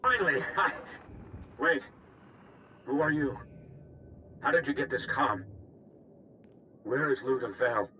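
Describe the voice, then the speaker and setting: A man's voice: medium pitch, expressive, slow, long pauses, sharp tone, a little hiss or hum. A man voicing a stern, solemn alien in a video game, speaking in formal, clipped sentences. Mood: urgent, suspicious. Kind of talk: video game cutscene acting.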